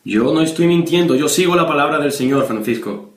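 A man's voice: on the high side, expressive, a little fast, over music. A young man from Spain playing a stern, preachy, threatening policeman father on a prank call, insistent and accusatory. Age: young adult. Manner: insistent, accusatory, repeating the listener's name. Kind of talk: prank phone call improvisation. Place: small bedroom, indoors. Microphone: webcam or camera at desk distance. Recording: roomy, echoey bedroom.

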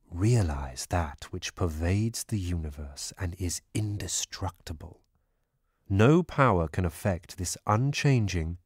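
Calm, commanding male god-teacher acted by a man for scripture audiobook: deep, husky, grave. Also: no echo, clean studio sound, heavily acted. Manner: deliberate, grave, instructing.

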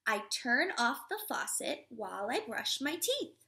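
A woman in her thirties, a teacher reading a picture book aloud to young children in an expressive, instructive way. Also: a little high, a little slow, a little hiss or hum. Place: living room at home, indoors.

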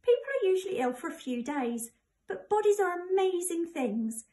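High-voiced English woman reading to children. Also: expressive, moderate pace, mellow. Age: middle-aged. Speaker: primary school staff member reading to pupils. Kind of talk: children's book read aloud.

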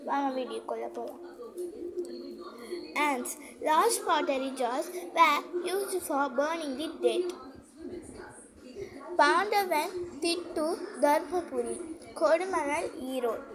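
High-voiced Tamil-accented woman reading slowly. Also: expressive, a crowd in the background, rough recording. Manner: slow careful reading with stumbles and restarts on hard words. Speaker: channel host presenting a school project album. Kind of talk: reading aloud from a project album, haltingly. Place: indoors at a table, camera filming the album from above.

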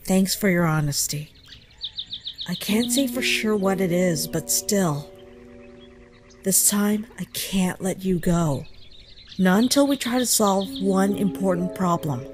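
A woman voicing a kind, concerned human child in a comic dub, gentle, earnest and thoughtful. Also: mid-range voice, slow pace, music in the background, lightly acted. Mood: reassuring. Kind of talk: scripted comic dub acting.

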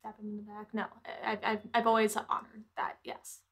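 A woman's voice: mid-range voice, completely flat delivery, a little fast, a bit bright. A woman playing a tabletop role-playing game, answering in casual in-game conversation.

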